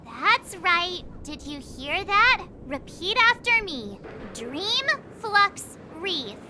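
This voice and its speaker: A woman's voice: extremely high pitch, big pitch swings, extremely slow, noisy background, full character voice. A woman voicing a breezy young female game character, chatty, bemused and teasing. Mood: wry. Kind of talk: video game voice acting.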